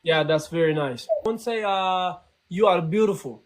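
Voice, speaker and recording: A man's voice: high-pitched, animated, moderate pace. A man with an Ethiopian accent, a comedian who teases and jokes with strangers on a live stream, mixing broken English and Amharic. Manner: playful, teasing, switches between broken English and Amharic. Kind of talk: joking live-stream chat.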